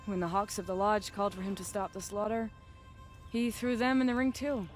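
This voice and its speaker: A woman's voice: medium pitch, little pitch movement, fairly quick, faint music behind, close to an everyday voice. A woman playing a proud, defiant young noblewoman hunter in a video game, firm and assertive, with bitterness and determination. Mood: grieving, bitter. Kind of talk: video game dramatic acting.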